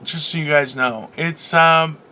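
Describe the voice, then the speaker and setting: A man in his late thirties co-hosting a podcast, chatting casually in a loud, animated and teasing way. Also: fairly high pitch, unhurried. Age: 30s. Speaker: podcast co-host. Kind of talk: casual chat.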